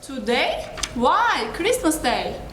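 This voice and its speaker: A woman's voice: high voice, very animated, slow, a bit bright, heavily acted. A woman voicing a cheeky, astonished street boy in a dramatic reading, with short incredulous exclamations. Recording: echoey room, distant, with background noise.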